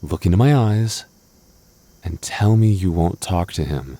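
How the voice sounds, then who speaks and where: Guy doing a jealous boyfriend rp, really deep relaxed voice, protective, kinda defensive and stammery, up close and intimate. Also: a little slow, no room sound. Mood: pleading, insistent. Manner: stammering, defensive, teasing asides. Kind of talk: intimate boyfriend audio roleplay.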